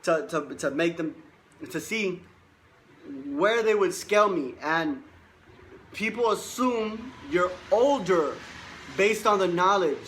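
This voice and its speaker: A man's voice: high voice, lively intonation, slow pace, fairly bright tone, slight background noise, poor audio. A man in his twenties, a life coach giving a boastful, emphatic motivational monologue and repeating himself for stress. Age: 20s.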